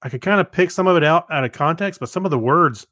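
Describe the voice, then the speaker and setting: A man co-hosting a casual podcast, reading items and chatting haltingly, often stumbling over his words. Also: fairly high pitch, animated, sharp tone, no echo, clean studio sound. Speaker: podcast co-host.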